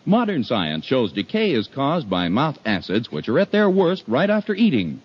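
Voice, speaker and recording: A man's voice: medium pitch, huge pitch swings, moderate pace, breathy edge. A man announcing a scripted, emphatic 1950 radio advertisement. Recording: muffled vintage 1950 radio.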